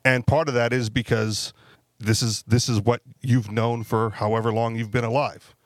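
Male co-host, relaxed conversational delivery, tag questions, medium pitch, close dry sound. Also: moderate pace, no room sound. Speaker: podcast co-host. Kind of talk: casual panel discussion.

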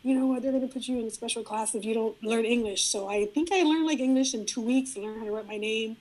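A middle-aged woman whose first language is Spanish, talking as a guest in a relaxed, reflective interview, often restarting her sentences. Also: fairly high pitch, fast talker, rough recording. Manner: reflective, frequent restarts and fillers. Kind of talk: casual interview conversation.

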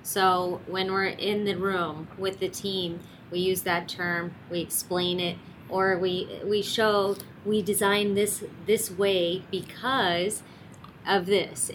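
A woman's voice: medium pitch, little pitch movement, slow, a little hiss or hum, low-quality recording. A woman hosting an interview, agreeable and encouraging, who offers brief affirmations and thinks aloud as she shapes her follow-up questions. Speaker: interviewer, host. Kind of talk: conversational interview.

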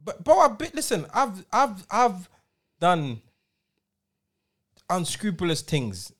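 A man's voice: high voice, huge pitch swings, slow pace, a bit bright. A man in his forties, co-hosting a podcast and telling stories in a slangy, emphatic way. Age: 40s. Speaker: podcast co-host, DJ. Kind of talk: casual chat.

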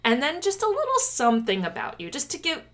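A chatty woman co-hosting a podcast, talking in a fairly high voice. Her pitch swings freely, and she laughs often as she rambles through announcements and banter. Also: wildly swooping pitch, quick pace, fairly bright tone. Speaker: podcast co-host. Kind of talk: casual podcast chat.

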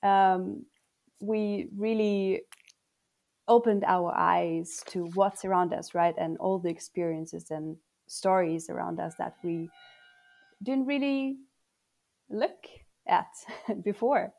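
Mellow-voiced woman interviewer. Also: on the low side, slow, gravelly. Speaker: interviewer, host. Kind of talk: podcast interview.

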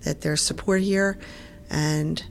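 A woman's voice: low voice, steady tone, slow, gravelly. A woman working in admissions, speaking emphatically and with conviction in an interview. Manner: emphatic, heartfelt. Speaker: admissions director. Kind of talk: interview answer.

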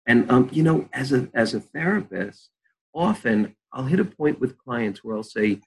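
Older man with a gravelly, husky voice. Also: medium pitch, expressive, a little mellow, low-quality recording. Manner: slow soothing guided-meditation delivery. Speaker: therapist. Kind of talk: guided visualization then conversation.

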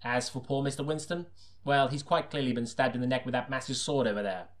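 A man reading scripted first-person detective narration as an audiobook, deadpan and self-serious like a noir parody. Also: mid-range voice, fast.

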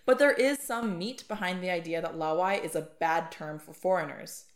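A woman's voice: lowish voice, moderate pace. A woman co-hosting a podcast, asking curious questions and reading scripted lines. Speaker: podcast co-host. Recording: echoey room.

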